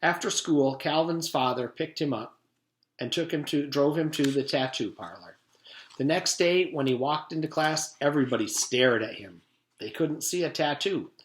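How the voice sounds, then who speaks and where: A man's voice: on the high side, expressive, normal speed. A man reading a children's storybook aloud like a teacher, narrating expressively in a roomy space. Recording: roomy echo.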